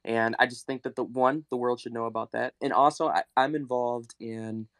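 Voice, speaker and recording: A man hosting a live audio call, speaking earnestly and restarting his sentences often. His delivery stays flat and even, and his voice is smooth and clear. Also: mid-range voice, no room sound, studio quality. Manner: earnest, halting, many restarts. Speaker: community host.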